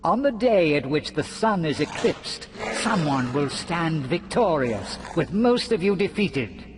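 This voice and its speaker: An actor playing a gleeful, taunting schemer god in a fantasy video game, with mocking, theatrical delivery. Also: male voice, a little high, sing-song, measured pace, background noise. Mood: sly, grandiose.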